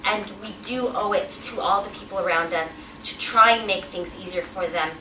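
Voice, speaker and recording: A woman's voice: medium pitch, normal speed, bright, forceful tone. Woman speaking at a podium in an echoey hall. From Pakistan. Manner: chatty, engaging the audience with questions. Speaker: guest speaker. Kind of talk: personal talk at a podium. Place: event hall with a backdrop, indoors. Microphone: podium mic, filmed from a distance. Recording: roomy, distant, noisy hall sound.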